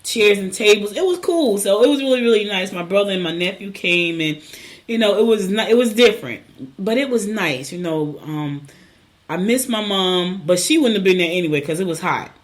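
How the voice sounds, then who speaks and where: A middle-aged woman from New Jersey hosting a morning show, her voice raspy and scratchy as she rambles through personal stories. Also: mid-range voice, expressive, moderate pace. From Newark, New Jersey. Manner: rambling, chatty, warm personal storytelling. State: hoarse, clearing throat. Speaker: podcast host. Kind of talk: casual morning show chat.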